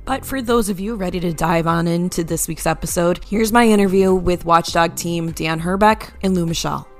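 Lady hosting a true crime podcast, voice on the low side, bit of music. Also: normal speed. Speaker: podcast host and interviewer.

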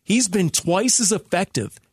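A man's voice: sits high, expressive, unhurried, slightly rough, dry recording, clean studio sound. A man co-hosting a sports talk radio show, analysing in an emphatic way with rhetorical questions.

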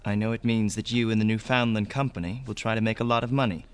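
Man playing a grave, low-voiced young man. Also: steady tone, quick pace, smooth, close to an everyday voice. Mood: stern, blunt. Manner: plain, grave, formal. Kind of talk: radio drama acting. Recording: radio drama with faint music.

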